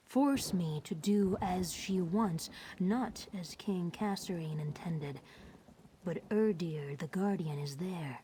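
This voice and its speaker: A woman voicing an innocent, newly awakened plant spirit in a video game, with breathy, simple, formal phrasing. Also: lowish voice, a little mellow, a little hiss or hum. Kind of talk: scripted video game dialogue.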